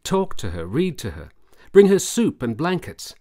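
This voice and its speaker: An actor playing an earnest, persuasive military doctor in a period radio drama, formal and deliberate. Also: male voice, fairly high pitch, huge pitch swings, mellow. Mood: earnest, persuading. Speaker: supporting actor.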